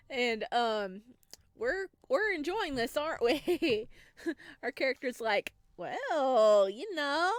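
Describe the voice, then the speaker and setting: A woman playing through a visual novel, reading the text aloud and adding animated, laughing comments. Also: medium pitch, dry recording, clean studio sound. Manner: animated, laughing asides between hushed narration. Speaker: game streamer.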